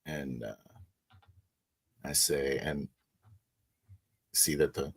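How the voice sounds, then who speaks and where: Very slow, deep-voiced male player. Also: fairly even intonation, creaky, husky, no echo. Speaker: tabletop game player. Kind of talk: unscripted description of his character's actions.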